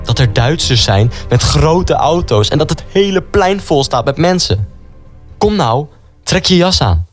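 An actor playing an excitable, defiant young brother in a wartime radio drama, pushy and quick to complain. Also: male voice, a little high. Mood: eager, urging. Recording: music under the voice.

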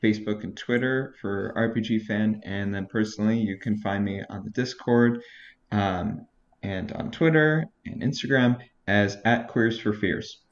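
A man chatting as a podcast guest, playful and quick to laugh. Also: lowish voice, lo-fi sound. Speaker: podcast guest, social media editor. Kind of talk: casual podcast chat.

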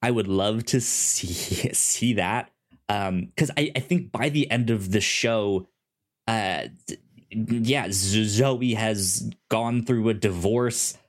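Casting: podcast co-host, medium-pitched voice, casual TV chat, halting delivery with frequent stammered repeats. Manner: stammering, repeats sounds and words.

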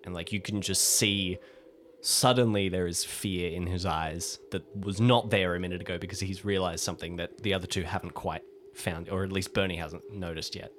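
Guy telling what his rpg character does, deep voice, chatty but dramatic, bit of noise behind him. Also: fairly even intonation, normal speed, fairly bright tone. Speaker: tabletop roleplay player. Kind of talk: improvised storytelling of character actions.

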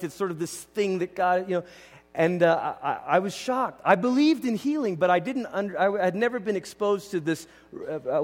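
High-pitched man preaching in a reverberant hall. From Malaysia. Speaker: pastor preaching. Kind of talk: conversational teaching sermon. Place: large indoor church hall.

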